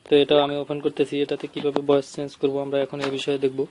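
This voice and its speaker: Bangladeshi male tutorial host, very monotone, stop-and-start step-by-step explaining, faintly noisy homemade recording. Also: mid-range voice. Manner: halting, repetitive step-by-step explaining. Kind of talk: informal screen-recorded app tutorial.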